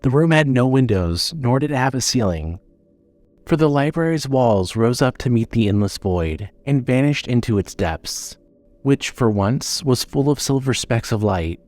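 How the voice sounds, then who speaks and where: A man's voice: mid-range voice, lively intonation, moderate pace, a little mellow, no room sound, faint music behind. A man narrating a scripted horror story with dramatic storytelling delivery.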